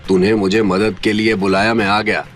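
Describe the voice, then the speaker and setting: An actor as a middle-aged gang boss with a low, heavy voice. He is commanding and contemptuous, speaking with imperious menace in an audio drama over faint music. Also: flat delivery, moderate pace, fairly bright tone, natural voice. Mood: cold menace. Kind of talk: Hindi audio drama acting.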